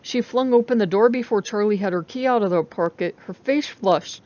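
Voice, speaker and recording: A woman's voice: mid-range voice, normal speed, a little mellow, lo-fi sound. A woman reading a story aloud as a dramatic audiobook narrator. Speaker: story reader.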